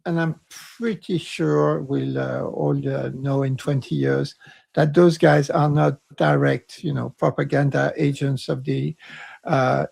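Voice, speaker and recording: A man with a croaky voice co-hosting a panel discussion, rambling and self-mocking, then speaking with passion. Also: medium pitch, fairly even intonation, a little slow, a little mellow. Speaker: co-host.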